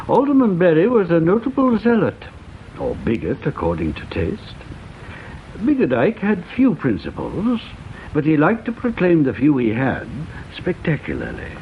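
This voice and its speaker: A man's voice: on the high side, huge pitch swings, normal speed. An actor playing an erudite, dryly witty older Englishman in a radio drama, musing pedantically in an English accent. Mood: wry, precise. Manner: pedantic, wry, leisurely musing. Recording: thin phone-like old radio recording, faint noise.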